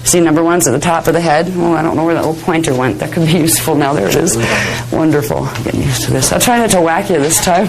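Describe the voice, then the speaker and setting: A woman with a hoarse voice giving a spiritual workshop lecture, chatty and digressive, laughing often. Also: low voice, fast talker, few pauses, poor audio. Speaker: spiritual teacher. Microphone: handheld microphone near face.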